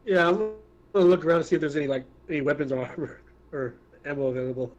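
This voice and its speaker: A man speaks casually as a player in a tabletop game. His voice is a bit higher than average. The sound is slightly dull, as on an online call. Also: quick pace, a little mellow. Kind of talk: casual chat during online gaming.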